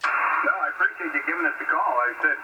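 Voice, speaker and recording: A man's voice: on the high side, quick pace, lo-fi sound. A man chatting casually as an amateur radio operator over a sideband radio link, in a rambling, folksy, polite way. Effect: sideband radio transmission. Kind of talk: casual ham radio contact. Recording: very noisy shortwave radio signal with static.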